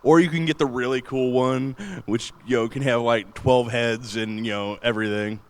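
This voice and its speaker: A man with a booming voice giving an enthusiastic, chatty panel talk in a large convention room. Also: fairly even intonation, normal speed. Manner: enthusiastic, chatty, laughs often. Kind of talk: casual panel talk on streaming gear.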